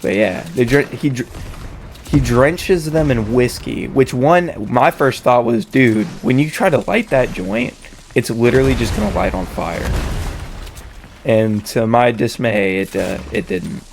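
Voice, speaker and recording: Young dude w a slight cold, crackly hoarse voice, slangy storytime chat, bit of background noise. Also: male voice, medium pitch, unhurried. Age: young adult. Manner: slangy, frequent swearing. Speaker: storytelling host.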